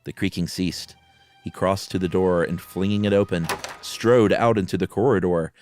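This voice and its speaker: A man's voice: low-pitched, normal speed, a little mellow. A man hosting and reading a horror story dramatically, with casual asides. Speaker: podcast host and story reader. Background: music.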